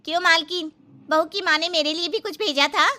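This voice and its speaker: A woman's voice: very high voice, fairly quick, bright, forceful tone. A woman voicing a bold, cheeky household maid who answers back in a comic cartoon story, sassy and taunting. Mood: aggrieved, demanding.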